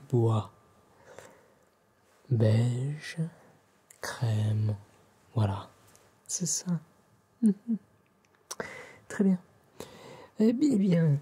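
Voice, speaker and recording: A man from France with a French accent voicing a courteous, fussy fantasy-village tailor in an ASMR roleplay, breathy and often whispering, with formal, polite, deliberate phrasing. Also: medium pitch, warm tone, exaggerated voice. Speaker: ASMR performer playing a tailor.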